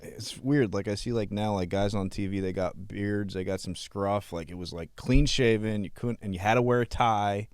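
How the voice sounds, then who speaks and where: Low, laid-back male co-host. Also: steady tone, normal speed, hardly any pauses, clean tone, no echo, clean studio sound. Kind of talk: casual chat.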